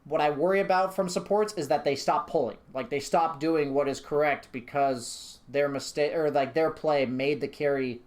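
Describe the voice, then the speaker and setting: A man's voice: fairly high pitch, moderate pace, hardly any pauses. A man coaching in a casual conversation, speaking bluntly and with confidence. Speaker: game coach.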